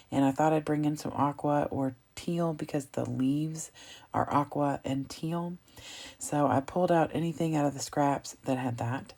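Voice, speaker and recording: A woman hosting a scrapbooking video, talking through her process in a chatty, rambling way with a slightly hoarse, froggy throat. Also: sits low, warm tone. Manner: chatty, rambling, with frequent ums and self-corrections. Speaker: craft video host. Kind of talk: casual narration of a scrapbooking process.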